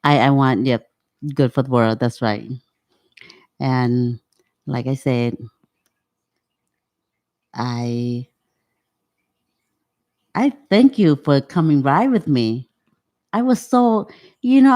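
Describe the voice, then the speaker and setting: A woman in her fifties, originally from Cambodia, speaking English as her at an unhurried pace. Her sentences come out halting, with frequent restarts as she searches for words. The talk is a relaxed interview chat. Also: fairly low pitch. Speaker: guest. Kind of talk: casual conversational interview.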